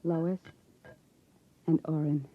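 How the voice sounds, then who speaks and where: A woman's voice: sits low, level tone, takes it slowly, no echo. A woman acting as a hopeful young wife in a 1950s radio drama, speaking in a breathless, wondering, earnest way. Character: hopeful young wife emerging after generations underground. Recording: dull lo-fi 1950s radio recording.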